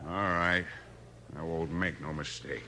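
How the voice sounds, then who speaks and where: A man's voice: sits low, steady tone, measured pace, bright, forceful tone, slight background noise, full character voice. An actor playing a surly, tough-talking outlaw gunman with an American accent in a 1950s Western radio drama. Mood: cowed, grudging. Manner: tough-talking, sneering, grudging.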